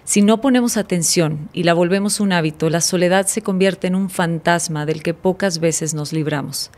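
A woman hosting a podcast, reading a prepared introduction and then chatting warmly and effusively with her guest in a studio. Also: on the low side, normal speed. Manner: warm, effusive, reads from paper. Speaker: podcast host. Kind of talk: scripted intro then casual interview. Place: dark studio set, indoors. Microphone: studio mic on boom arm.

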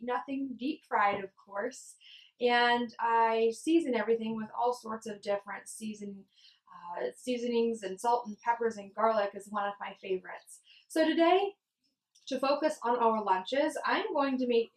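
Young lady vlogging in her kitchen, kinda high voice, chatty, really echoey. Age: young adult. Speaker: vlogger and fitness coach. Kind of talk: casual instructional vlog. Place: home kitchen, indoors. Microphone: camera across the counter. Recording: echoey kitchen, away from mic.

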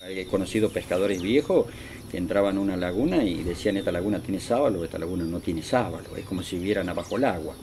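A man's voice: low voice, fast talker, a little mellow, soft background music. An Argentine man recalling things casually, rambling and correcting himself as he goes. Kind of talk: casual recollection. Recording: faintly noisy outdoor field recording.

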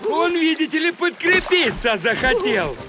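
A man's voice: very high voice, very animated, a little slow, background noise, exaggerated voice. A man playing an eager, squabbling animal sidekick to a villain in a children's cartoon. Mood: grumbling. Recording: music behind the voice.

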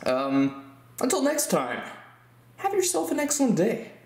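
A man hosting his own card-opening videos, chatting casually with fillers and excited exclamations, recorded by a camera mic at a tabletop indoors. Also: very high-pitched, measured pace, reverberant. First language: English. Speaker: host of a card-opening channel. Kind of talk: casual hobby commentary.

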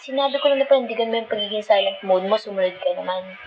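A woman's voice: medium pitch, fast, lo-fi sound, background noise, natural voice. A woman with a Filipino accent playing a feisty, dramatic but loving girlfriend in an ASMR roleplay, sassy and mixing two languages as she talks. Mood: annoyed. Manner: sassy, scolding, switching between Tagalog and English.

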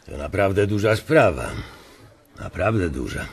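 An actor playing a banker rival in a radio drama, speaking slowly and with measure in a gravelly, husky voice. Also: male voice, deep voice, expressive, heavily acted. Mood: conciliatory. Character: slow-spoken male banker rival offering truce.

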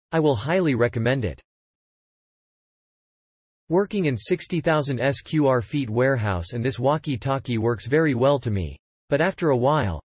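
A man reading customer product reviews aloud in an even, unhurried voice. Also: medium pitch, breathy edge, no echo.

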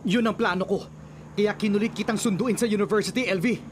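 A man's voice: sits high, fairly even intonation, fairly quick, a little hiss or hum, natural voice. A man playing an obsessive, domineering young suitor. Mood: possessive. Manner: forceful, domineering. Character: obsessive young man kidnapping a woman he loves. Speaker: radio drama actor. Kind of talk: radio drama acting. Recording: radio drama with music behind.

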